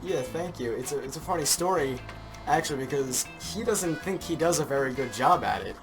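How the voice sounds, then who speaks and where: A man's voice: a little high, expressive, fairly quick. A man chatting casually as a guest on a podcast. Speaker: podcast guest from a fandub group. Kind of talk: casual chat. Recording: music clearly playing behind the voice.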